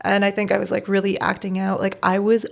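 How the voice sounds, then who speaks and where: Gravelly-voiced woman, chatty and candid. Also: mid-range voice, completely flat delivery, quick pace. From Bosnia, raised in Canada. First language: Bosnian. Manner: chatty, frequent laughs and "like" fillers, candid. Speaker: podcast host, guest in this episode. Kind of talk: casual personal storytelling.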